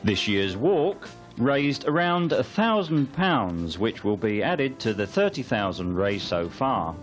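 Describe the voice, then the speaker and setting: A man's voice: medium pitch, big pitch swings, takes it slowly, over music. A man reading scripted documentary narration for a newsreel.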